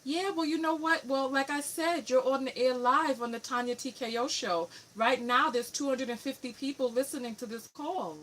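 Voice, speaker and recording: A woman's voice: sits high, moderate pace, few pauses, a bit bright, slight background noise. A woman from New York City with a New York accent, hosting a live coaching call and pressing a caller with firm questions. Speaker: radio show host and relationship coach.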